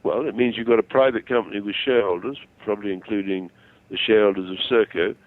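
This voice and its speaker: Older male phone-in guest, low-pitched, halting yet self-assured, narrow tinny telephone sound. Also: steady tone, no echo. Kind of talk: conversational explanation and opinion over the phone.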